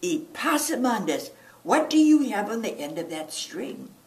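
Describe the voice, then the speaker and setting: A woman voicing an exasperated possum mother in a children's storybook, scolding in an emphatic, drawn-out way. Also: fairly high pitch, big pitch swings, voice pushed up. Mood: questioning. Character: scolding, exasperated, doting possum mama with Southern folksy talk. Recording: roomy, distant mic, faint noise.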